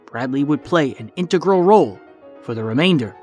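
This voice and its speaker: A man's voice: fairly high pitch, expressive, fairly quick, a little mellow, faint music behind. A man narrating a scripted audio documentary as a podcast narrator.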